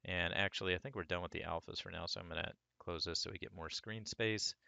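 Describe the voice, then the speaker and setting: A man with a hoarse voice from a cold, talking through a sculpting tutorial step by step in a relaxed way. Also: deep voice, fast, no echo. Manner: relaxed, talks through each step as he works. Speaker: 3D sculpting instructor. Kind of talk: screen-recorded software tutorial.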